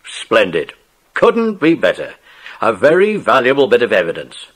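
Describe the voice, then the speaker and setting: An actor playing a brisk, jovial police inspector with a husky voice and a hearty, avuncular manner in a children's audio drama. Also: male voice, medium pitch, huge pitch swings, poor audio. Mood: hearty, delighted.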